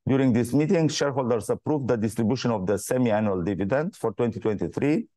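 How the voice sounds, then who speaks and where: A man delivers a scripted earnings presentation with a mellow, relaxed tone. His reading is formal and measured, and the sound is close and dry, at an average pitch. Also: dry recording. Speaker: company executive presenting financial results.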